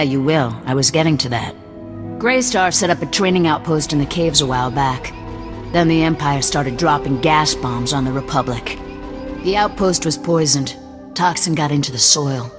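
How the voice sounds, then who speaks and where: An actress playing a hard-nosed, distrustful resistance leader who gives clipped, commanding orders. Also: female voice, low voice, lightly acted. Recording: echoey game cutscene with loud score.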